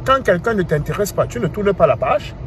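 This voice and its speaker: Man, thirties, Cameroonian French accent, animated, with street traffic heard clearly behind. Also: sits high, brisk. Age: 30s. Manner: animated, asks rhetorical questions, repeats "hein". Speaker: commentator. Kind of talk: casual monologue.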